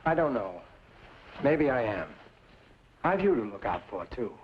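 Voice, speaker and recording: A man's voice: medium pitch, very animated, unhurried. An actor playing a genteel, bookish father in a radio western, middle-aged, speaking in a florid, fond and weary way. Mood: resigned. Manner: florid, quotes scripture and poets. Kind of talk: old-time radio western acting. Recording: muffled, noisy old radio recording.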